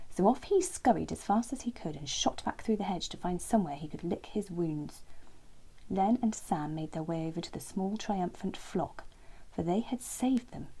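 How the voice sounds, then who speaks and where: Casting: female bedtime storyteller, mellow and breathy, dramatic deliberate phrasing for children's narration. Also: on the low side.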